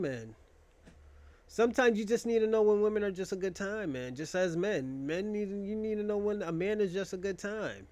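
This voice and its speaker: A young man hosting a casual monologue, rambling and joking in a crude, profane way. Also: high-pitched. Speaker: podcast host.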